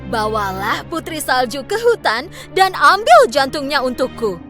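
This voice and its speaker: Woman playing a vain, wicked storybook queen, high-pitched. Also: big pitch swings, a little slow, fairly bright tone, soft background music, heavily acted. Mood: menacing, commanding. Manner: theatrical commanding delivery with feigned sweetness.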